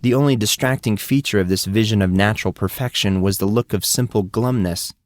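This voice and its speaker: A man's voice: lowish voice, fairly quick, dry recording. A man reading scripted fiction as an audiobook narrator.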